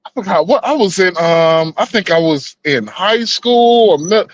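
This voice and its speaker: Man, 30s, podcast co-host: high, profane, rambling, slightly muffled dry sound. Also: expressive, a little slow, no echo. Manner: rambling, profane, stops and restarts a lot. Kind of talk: heated casual debate talk.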